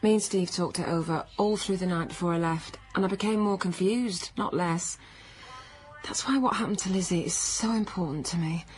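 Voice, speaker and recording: A woman's voice: on the low side, normal speed, natural voice. An actress playing a troubled, stubborn pregnant career woman, earnest and defensive, in naturalistic radio drama.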